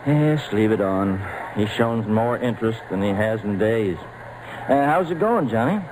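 A calm, caring doctor in an old radio play. His voice is fairly low. The recording is clearly noisy. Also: unhurried. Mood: gentle. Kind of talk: old-time radio drama acting.

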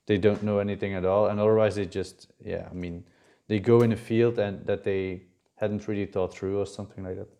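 A man's voice: fairly low pitch, little pitch movement, brisk, mellow. A young man hosting a podcast, asking questions in a rambling, casual way. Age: young adult. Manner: rambling, with fillers and restarts. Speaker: podcast host. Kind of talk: casual interview.

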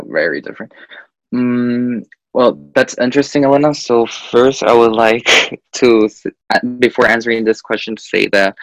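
Young man from Guatemala, dry and low. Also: dry recording, poor audio. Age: young adult. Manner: hesitant, frequent "eh" fillers, animated. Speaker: interview guest. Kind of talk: casual interview chat.

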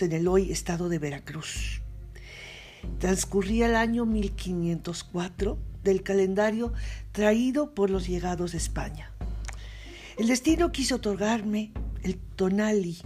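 A woman's voice: medium pitch, darkish tone, natural voice. A woman reading as a noble-born interpreter. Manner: measured first-person reading.

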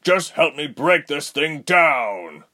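A man's voice: medium pitch, animated, takes it slowly, sharp tone. A man voicing a desperate, tender father in a storybook narration. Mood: tense, desperate. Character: desperate, tender father fighting to save his wife.

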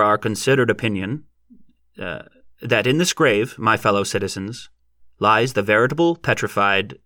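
A man voicing a solemn, pompous small-town preacher in an audiobook, speaking deliberately in formal, pontifical phrases. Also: on the low side, sharp tone, no room sound, studio quality.

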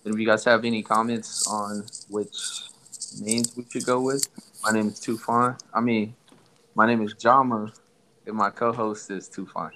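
A man's voice: lowish voice, flat delivery, normal speed, sharp tone, slight background noise, rough recording. A young man from Somalia hosting a casual podcast, loose and joking with his co-host.

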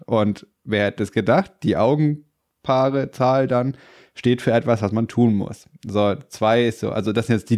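A man who is slightly stuffed up from a cold, chatting casually and rambling as a podcast co-host. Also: mid-range voice. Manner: relaxed, rambling storyteller. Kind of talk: casual chat.

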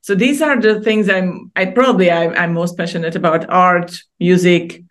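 A woman's voice: fairly low pitch, steady tone, moderate pace. A middle-aged woman from Hungary, a podcast guest who chats, laughs often and restarts her sentences. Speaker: guest artist. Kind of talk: casual interview conversation.

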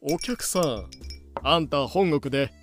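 An actor playing a rough, casual, drawling man in a pirate audio drama. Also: fairly high pitch, animated, slow, faint music behind. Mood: gossipy.

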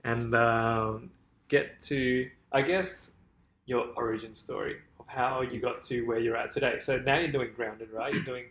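A man's voice: mid-range voice, fairly even intonation, unhurried, fairly bright tone. A man hosting a podcast, laid-back and slangy as he asks his guest questions. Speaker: podcast host. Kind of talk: casual interview chat. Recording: roomy echo.

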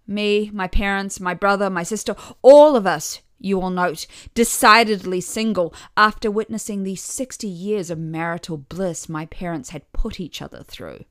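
A woman's voice: mid-range voice, normal speed, hardly any pauses, smooth, dry recording. Wry woman storyteller, dry close sound. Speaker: podcast author and narrator. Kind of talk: scripted first-person story narration.

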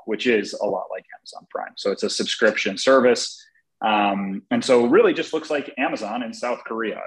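Low-voiced man discussing business. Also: low-quality recording. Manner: thoughtful, hesitant with fillers.

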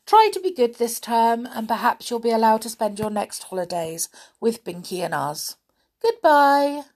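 A woman's voice: fairly high pitch, animated, moderate pace, darkish tone, pitched above the performer's own voice. A woman voicing a sensible young girl in a children's story, firm but kindly.

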